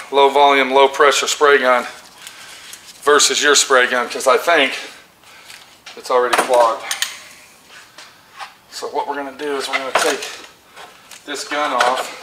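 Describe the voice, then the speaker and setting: A man's voice: medium pitch, echoey room, background noise. A middle-aged man demonstrating a spray gun, plain-spoken and instructive, recorded in a small paint booth. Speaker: auto painter demonstrating tools. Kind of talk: hands-on tool demonstration.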